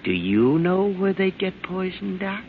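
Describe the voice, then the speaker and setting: An actor playing a paranoid, unstable hard-drinking husband in old-time radio drama, agitated and accusing. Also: male voice, high voice, very animated, measured pace, heavily acted. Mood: fearful, suspicious. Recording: noisy, muffled old radio recording.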